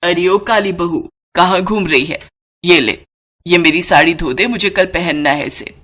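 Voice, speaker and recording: A woman's voice: fairly low pitch, a little slow, a bit bright. A woman as a scolding older mother-in-law. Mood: bossy scolding. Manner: scolding, taunting. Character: stern, scolding older Indian mother-in-law, scornful and bossy.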